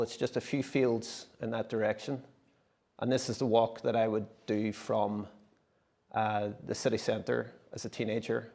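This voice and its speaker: A man's voice: medium pitch, a little mellow. Man, mid-range voice, casual explanatory speaker at a symposium. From Derry, Northern Ireland. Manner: conversational, explanatory, occasional restarts. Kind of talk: casual presentation. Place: symposium talk in a gallery space.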